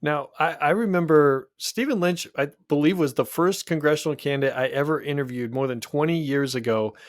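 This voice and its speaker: A man from the Pacific Northwest hosting a political podcast, talking in a measured, analytical way with frequent restarts. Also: fairly high pitch, no echo, clean studio sound.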